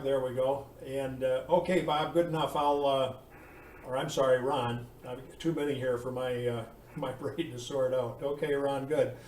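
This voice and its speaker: A man chatting casually as a radio operator, speaking in a halting, polite, informal way. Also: mid-range voice, darkish tone. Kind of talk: casual ham radio chat. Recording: roomy, distant mic, faint noise.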